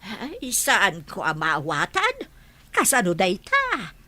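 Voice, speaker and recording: Animated stubborn grandmother. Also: medium pitch, slow pace, full character voice. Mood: insistent. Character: worried, stubborn grandmother in a village family. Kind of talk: Filipino radio drama acting. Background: music.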